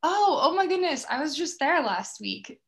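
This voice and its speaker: A woman's voice: high voice, very animated, normal speed, fairly bright tone. An American woman from Florida speaking as a podcast host in a casual, conversational interview. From Florida, United States.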